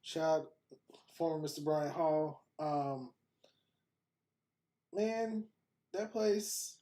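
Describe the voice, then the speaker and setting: A man's voice: a little high, extremely slow. Chatty podcast host in his thirties. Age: 30s. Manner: chatty and animated, laughs often. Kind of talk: casual commentary while browsing and reading aloud.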